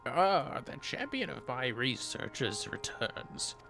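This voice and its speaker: A man's voice: mid-range voice, big pitch swings, takes it slowly, vocal fry, sharp tone, full character voice. A man voicing a scholarly, courteous researcher from a fantasy game, with ornate, formal speech. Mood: pleased. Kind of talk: reading game dialogue in character. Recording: music behind the voice.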